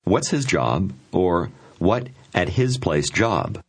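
A man with a deep, slightly husky voice narrates a language lesson. His delivery is lively and varied in pitch as he explains phrases and gives prompts. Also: drawn-out words. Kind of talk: scripted instructional narration.

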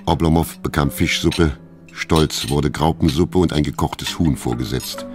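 A man narrating a German literary radio play in a measured, sober, detached storytelling manner. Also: very deep voice. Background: music.

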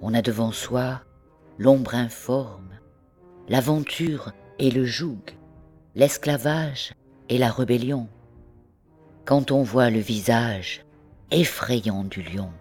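Female poetry reader, very unhurried, measured and deliberate, with music underneath. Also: rumbling low, darkish tone. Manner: measured, deliberate phrasing with pauses. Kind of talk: slow, literary poetry recitation.

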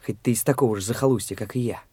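A man's voice: medium pitch, rapid-fire, mellow, breathy edge, no echo, lightly acted. An actor playing Mitya, a brash, pushy young man who talks in a taunting, blunt way, in a studio radio play.

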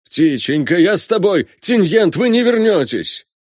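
A man's voice: sits high, very animated, a little slow, a little mellow, dry recording, clean studio sound, voice pushed up, full character voice. A man voicing a proud, devoted old colonel and father, speaking with anguished, formal fervour in an audiobook. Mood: frantic. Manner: fervent, formal, pleading.

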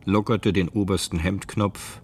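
Laconic crime narrator, very low voice, unhurried, detached radio drama read. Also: male voice, fairly even intonation, slight background noise.

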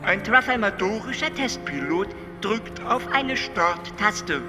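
Radio drama alien: high voice, calm and detached explainer with a glitchy stammer, music underneath. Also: male voice, slow pace, heavily acted. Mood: calm, matter-of-fact. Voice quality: stuttering, glitchy repeated syllables. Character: detached, matter-of-fact stammering alien being.

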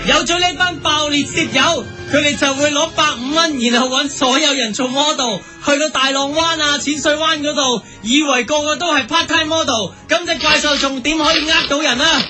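An actor playing an excitable young superhero in a parody audio drama, exclaiming earnestly and dramatically. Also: male voice, extremely high pitch, moderate pace, hardly any pauses, over loud music. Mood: determined.

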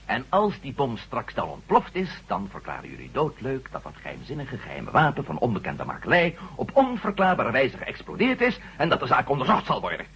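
Stubborn indignant reporter guy in a radio play, really husky, argumentative, dull old recording. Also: medium pitch, a bit bright, exaggerated voice. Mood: sardonic, indignant. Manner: emphatic, argumentative, sardonic. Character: headstrong male ex-astronaut reporter, indignant and insistent. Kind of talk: Dutch radio drama acting.

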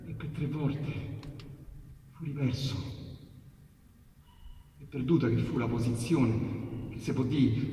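A man with a Roman accent recites narrative verse as a theatrical storyteller, moving between hushed and urgent delivery with emphatic pauses. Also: on the high side. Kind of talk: theatrical storytelling of dialect sonnets. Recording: echoey hall, distant mic, long reverb. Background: piano accompaniment.

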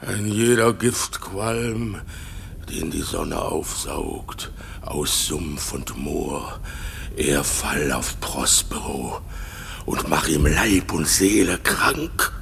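A cursing island monster in classic radio drama, low and heavily creaking. He is theatrical and slow, bitter yet fearful, moving between growls and panicked cries. Faint noise and rumble sit behind him. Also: expressive, fairly bright tone, heavily acted. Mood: bitter, brooding. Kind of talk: German radio play acting of classic verse.

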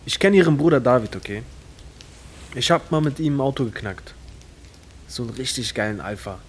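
A man's voice: mid-range voice, lively intonation, brisk, slight background noise, natural voice. A man acting a streetwise young petty criminal in an audio drama, slangy, brash and blasé. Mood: casual, boastful.

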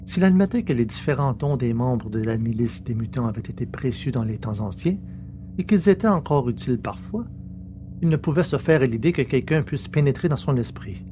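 A man's voice: mid-range voice, animated, a little fast. Male story narrator, noisy humming recording. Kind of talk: scripted literary narration of science fiction. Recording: clearly noisy background with hum.

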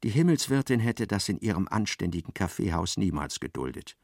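An actor playing a dry storyteller, giving measured, precise literary narration in a radio play. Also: male voice, on the low side, big pitch swings, gravelly, darkish tone, no echo, full character voice.

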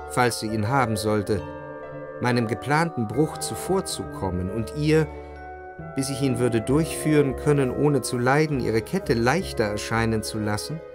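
An actor playing a reflective first-person literary narrator in a radio play, measured and introspective. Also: male voice, medium pitch, natural voice. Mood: pained, introspective. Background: music.

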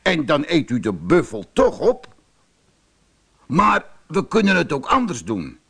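A man's voice: a little high, unhurried, vocal fry, slightly rough, voice pushed up, exaggerated voice. Een man die een slimme rijstboer in een sprookje speelt, onderdanig beleefd en listig. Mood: persuasive. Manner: deferential, polite, calmly cunning. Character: shrewd rice farmer, humbly courteous to a tiger. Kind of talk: storybook character voice.

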